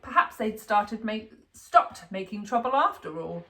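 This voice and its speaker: Female storybook reader: slow, deliberate, expressive, bright-voiced, for young children. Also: medium pitch. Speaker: storybook reader, school staff. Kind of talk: expressive children's picture book narration.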